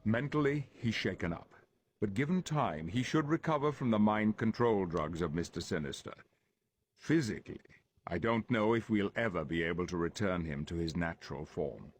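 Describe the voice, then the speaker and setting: A man playing a calm, authoritative professor leading a team of heroes in a video game, measured and formal. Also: sits low, slight background noise. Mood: briefing. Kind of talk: video game cutscene acting.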